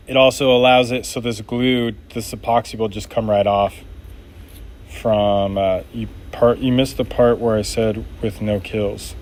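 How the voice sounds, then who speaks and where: A man making knives during a live stream, chatting casually and reading viewer comments aloud in an upbeat, laid-back way. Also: medium pitch.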